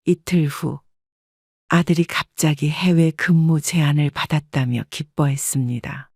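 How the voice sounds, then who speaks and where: 차분하게 일인칭 사연을 읽는 여성. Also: female voice, low voice, a little slow, a little mellow, no echo, studio quality. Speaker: storyteller. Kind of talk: scripted first-person narration.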